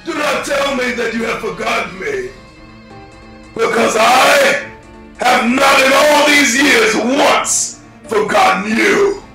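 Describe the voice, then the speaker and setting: An actor voicing an ancient, vengeful monster villain in an animated trailer, declaiming grandiosely with menace in a gravelly voice. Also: male voice, extremely high pitch, slow, full character voice. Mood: gloating menace. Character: ancient vengeful male monster villain, theatrical, wrathful and gloating. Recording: echoing voice over music.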